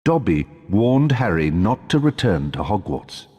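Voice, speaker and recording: A British man playing a measured storyteller who narrates a wizard tale for a video game. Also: lowish voice, very animated, dark tone, slightly rough, in a large hall, dull audio, soft background music, heavily acted. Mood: storytelling.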